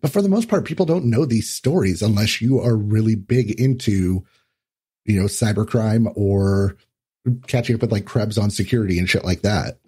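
A man's voice: on the low side, normal speed, a little mellow, slightly rough. A man talking as a podcast guest in a casual, explanatory, lightly sweary conversational way.

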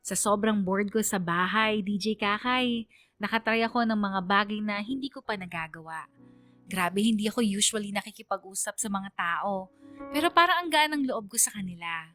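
Narrator role: young Filipino woman voiced by an actress, mid-range, reflective first-person radio storytelling over soft music. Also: mid-range voice, moderate pace, lightly acted. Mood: confiding. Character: shy young woman telling her pandemic friendship story. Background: music under the voice.

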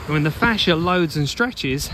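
A man's voice: on the high side, lively intonation, moderate pace. A man coaching runners in an off-the-cuff walking vlog, instructive and emphatic, repeating phrases as he explains, recorded outdoors on a phone. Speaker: running coach and blogger. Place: outdoors on an open sandy beach. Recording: noisy outdoor beach ambience.

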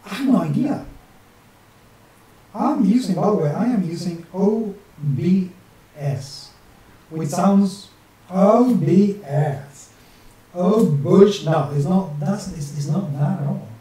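A man's voice: high-pitched, animated, slow, mellow. A man in his forties with a non-native English accent showing some northern English influence, chatting and joking to himself while he draws a map on screen at his desk at home. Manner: chatty, talks to himself, jokey asides. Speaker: map illustrator and channel host. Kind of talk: rambling chat while drawing on screen. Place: small home room at a desk with a webcam. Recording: very echoey room, distant mic, faint hiss.

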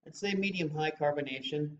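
A man's voice: fairly high pitch, brisk. A middle-aged man co-hosting a casual beer review, chatty and rambling with many fillers. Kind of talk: casual chat. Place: small room with shelves of beer bottles. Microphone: webcam recording from a distance. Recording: roomy, distant, dull webcam sound with faint hiss.

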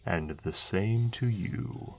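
A man playing a calm, reassuring dragon merchant in a measured way for an ASMR audio roleplay. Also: sits low, breathy edge, soft background music, exaggerated voice. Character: calm male dragon merchant guarding a desert camp.

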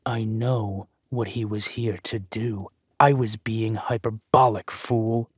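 An actor playing a ruthless, possessive villain duke of low birth in an audio roleplay, with menacing, deliberate phrasing. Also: male voice, steady tone, husky, no echo, clean studio sound. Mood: cold, irritated.

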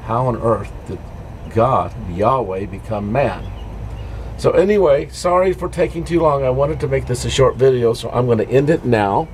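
A man in his fifties, a native English speaker, giving an informal devotional talk to camera in a halting, explanatory way. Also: mid-range voice, lively intonation. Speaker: devotional vlogger. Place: dark greenhouse. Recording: noisy amateur recording with background ambience.

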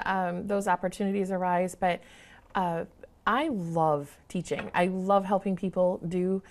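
A middle-aged woman from Michigan talks as a guest in a studio interview, miked with a lapel mic. She is warm and expressive and laughs easily as she tells her stories. Her pitch sits in the ordinary middle range. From Michigan, USA. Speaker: guest, wildlife photographer. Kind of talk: interview conversation. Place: studio set.

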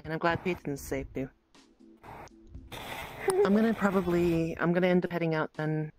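Low-voiced, gently hesitant woman in roleplay. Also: normal speed, music in the background, low-quality recording. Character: gentle dragon woman, protective and encouraging. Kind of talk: improvised virtual-reality fantasy roleplay chat.

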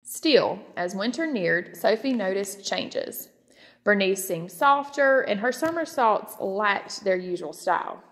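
A woman reads a children's story aloud at a middle pitch. Her phrasing is clear and expressive, and the room adds a long ring to her voice. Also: takes it slowly, big-room sound. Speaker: storybook reader. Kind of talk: children's read-aloud narration.

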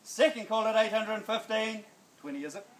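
A middle-aged man with a New Zealand accent calling bids as an auctioneer in a backyard, coaxing buyers and chanting the price in brisk repeats. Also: sits high, reverberant, slight background noise. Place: sunny backyard outdoors. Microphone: phone camera at a distance.

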